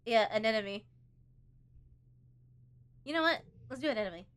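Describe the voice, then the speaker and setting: A woman streaming a game live, chatty and expressive, reading the on-screen story aloud and tossing in playful asides. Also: fairly high pitch, moderate pace. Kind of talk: live let's-play commentary, reading game text aloud.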